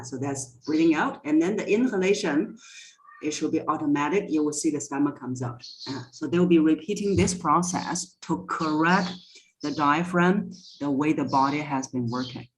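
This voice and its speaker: A woman speaking as a guest voice coach in a podcast interview, explaining in a deliberate, instructive way and stressing key words. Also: deep voice, rough recording.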